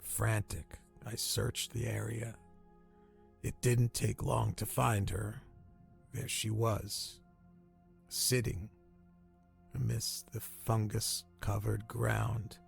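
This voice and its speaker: An actor playing a dying old castaway in a radio drama, haunted and horrified, telling his tale in halting, drawn-out phrases. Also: on the low side, flat delivery, creaky, faint music behind, full character voice. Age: older.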